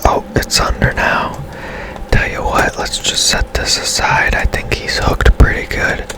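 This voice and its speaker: A man whispering softly and casually as he gives rambling, unhurried commentary on a video game for ASMR. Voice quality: soft unvoiced whisper. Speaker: game streamer.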